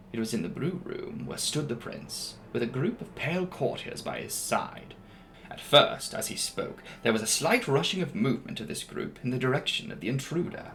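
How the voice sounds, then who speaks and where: A man reads a literary horror tale in a solemn, theatrical manner. His mid-pitched voice moves widely in intonation and carries a gravelly creak. Music plays clearly behind his emphatic narration. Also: low-quality recording. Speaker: audiobook narrator. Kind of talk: dramatic literary horror story reading.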